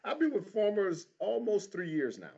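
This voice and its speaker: A man's voice: high-pitched, wildly swooping pitch, moderate pace, creaky, warm tone, husky. A middle-aged man from Houston with an American accent, answering interview questions in an informal, candid way. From Houston, Texas, United States. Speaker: interview guest, insurance agent. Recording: dull lo-fi livestream audio.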